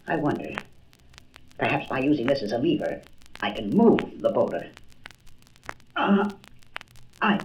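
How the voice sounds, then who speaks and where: A man playing a frail, earnest, anxious doctor who thinks aloud dramatically in a comic-book audio drama. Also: a little high. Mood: desperate. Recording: faintly noisy old record.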